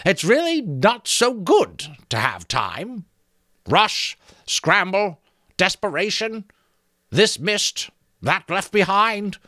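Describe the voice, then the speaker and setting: Storybook undead skull, mocking and creaky, drawls its taunts; a man puts it on. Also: high-pitched, sharp tone, dry recording, studio quality, voice pushed up, exaggerated voice. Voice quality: creaking, rattling voice. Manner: teasing, drawn-out, slow delivery.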